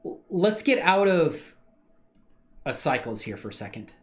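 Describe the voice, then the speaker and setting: A man's voice: a little high, unhurried. A man livestreaming his 3D modeling work, thinking aloud in a casual, slangy way and answering questions from chat. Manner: relaxed slangy talk with many fillers.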